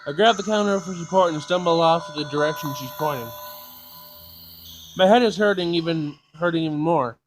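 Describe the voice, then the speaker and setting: A man with a fairly high voice hosts a casual let's play over clearly audible game music. He reads the on-screen text aloud in an informal way, sometimes tripping over the words. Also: fairly quick, poor audio. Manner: informal, stumbles while reading aloud. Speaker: gaming video host.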